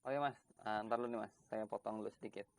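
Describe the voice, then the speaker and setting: A man's voice: fairly low pitch, fairly even intonation, fast, creaky. Casual man hosting a chat. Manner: informal, chatty, banters with guest. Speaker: host of a chat interview show.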